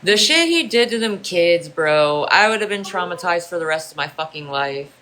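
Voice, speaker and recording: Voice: medium pitch, animated, normal speed, bright, forceful tone, low-quality recording. A livestreamer from Ohio in casual chat with viewers, rambling and repeating themselves, swearing freely.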